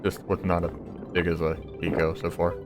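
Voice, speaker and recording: A man's voice: low-pitched, monotone, normal speed. A man giving casual, off-the-cuff commentary while playing a video game. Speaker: gaming video creator. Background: game music.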